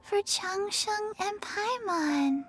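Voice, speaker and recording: A woman's voice: extremely high pitch, extremely slow, a bit bright, full character voice. A woman voicing an earnest, childlike little girl in a video game. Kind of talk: video game story dialogue. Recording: music behind the voice.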